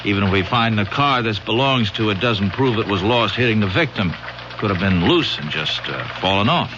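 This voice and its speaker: Young investigator, male actor, radio drama: lowish pitch, laconic, unfussy, muffled vintage broadcast. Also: lively intonation, moderate pace, background noise, lightly acted. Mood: doubtful, measured. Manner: laconic, colloquial. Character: easygoing, doubtful young police investigator. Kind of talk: 1970s radio drama acting.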